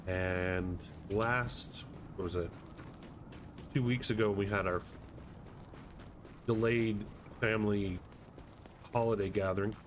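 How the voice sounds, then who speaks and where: Low-voiced man streaming a game over noise. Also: steady tone, slow, pauses often. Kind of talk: casual chat while gaming. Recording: clear background noise and game ambience.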